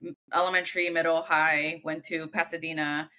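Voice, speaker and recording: American female podcast guest, very bright lowish voice, casual conversational delivery. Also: little pitch movement, fairly quick, a little hiss or hum. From Los Angeles, United States.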